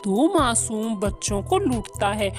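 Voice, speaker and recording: A woman plays a cartoon mother eagle with a fairly high voice. The eagle is protective, stern and out for revenge. Music is clearly audible behind. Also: animated, unhurried. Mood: angry, vengeful. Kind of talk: Hindi cartoon voice acting.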